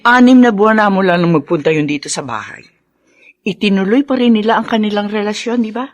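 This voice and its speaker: A woman's voice: mid-range voice, fast talker, no room sound, natural voice. A woman playing a stern, protective middle-aged widowed mother in a Filipino family drama, speaking insistently and with emotion. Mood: suspicious.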